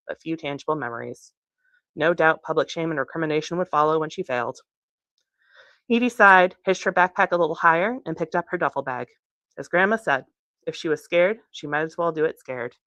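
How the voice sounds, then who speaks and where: A woman reads fiction aloud in an expressive storytelling style. Her voice sits on the low side, and the sound is close and dry, with little room in it. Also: fast, dry recording. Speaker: author reading from a novel. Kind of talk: expressive audiobook-style reading. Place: bookstore reading.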